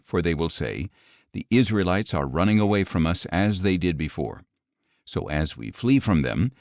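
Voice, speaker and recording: A man narrating scripture from a script in a measured, solemn manner. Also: low voice, darkish tone, dry recording, clean studio sound. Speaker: audiobook Bible narrator.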